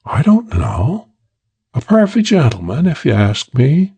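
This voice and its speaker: Brassy, slangy young switchboard woman read by a man, voice pitched high, sassy. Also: huge pitch swings, measured pace, warm tone, husky, heavily acted.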